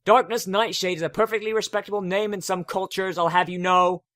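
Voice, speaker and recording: A man's voice: high voice, fairly even intonation, brisk, fairly bright tone, no echo, clean studio sound, voice pushed up. A man voicing a young female unicorn who is smug, flippant and defensive.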